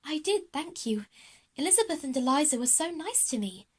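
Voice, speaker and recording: A woman voicing a timid, grateful girl with a troubled past in an audio drama, soft and earnest. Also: high-pitched, big pitch swings, normal speed, pitched above the performer's own voice. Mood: shy, grateful.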